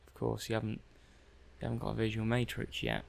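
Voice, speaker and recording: A man playing a hesitant, curious robot technician in an ASMR roleplay, halting and musing aloud. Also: fairly low pitch, very animated, gravelly, exaggerated voice. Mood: puzzled, musing. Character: hesitant, curious male robot technician running software tests. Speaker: audio roleplay performer. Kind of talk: soft-paced ASMR roleplay monologue.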